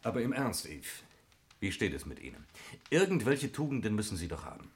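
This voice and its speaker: A man's voice: lowish voice, big pitch swings, brisk. An actor playing a suave, courteous man with hesitant interjections in a 1960s German radio drama. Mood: teasing. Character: suave, polite man hiding unease, Reggie. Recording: roomy studio sound with faint noise.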